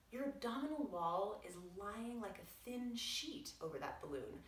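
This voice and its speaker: A woman reading aloud as a doctor explaining things in a measured, articulate, explanatory way. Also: on the high side. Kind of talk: read-aloud narration with brief asides. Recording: roomy echo, distant from mic.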